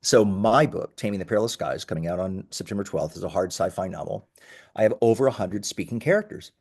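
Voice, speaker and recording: A man's voice: lowish voice, fast, darkish tone, husky. A man speaking as an interviewed founder, explaining his product in an enthusiastic, emphatic, conversational way. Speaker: startup founder being interviewed.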